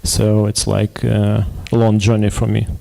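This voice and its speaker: A man from Ukraine in his forties with a low, gravelly and dark voice. He speaks haltingly on a business panel, pausing to search for words. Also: monotone. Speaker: panel guest, digital agency executive. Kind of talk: panel discussion.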